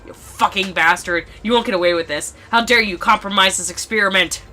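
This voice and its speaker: A woman's voice: mid-range voice, normal speed, sharp tone, slight background noise, close to an everyday voice. A woman voicing a furious, foul-mouthed male executive villain in a story, explosive and menacing.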